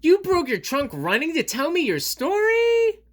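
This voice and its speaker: A man voicing an excitable little pig from a children's picture book, high and loud. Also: a little slow, heavily acted. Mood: exasperated. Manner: high squeaky pitch, loud exclaiming. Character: excitable little pig, cartoon storybook friend.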